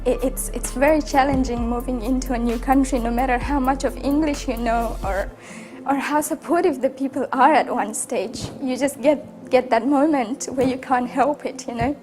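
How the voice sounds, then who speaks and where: The voice of a young Afghan-accented woman in a short migrant-story film, reflective and fairly high, sharing personal testimony over a musical score. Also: fairly even intonation, normal speed, music in the background. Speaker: university student telling their own story.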